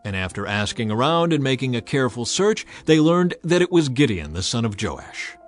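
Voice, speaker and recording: A man's voice: mid-range voice, very animated, normal speed. A man narrating scripture as a scripted, dramatized audio reading. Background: music.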